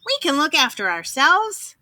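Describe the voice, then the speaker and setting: A woman's voice: high-pitched, huge pitch swings, a little slow, pushed and bright, a little hiss or hum, voice pushed up, exaggerated voice. A woman voicing a plucky, eager young male pig from a storybook, given to squealing exclamations. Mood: confident.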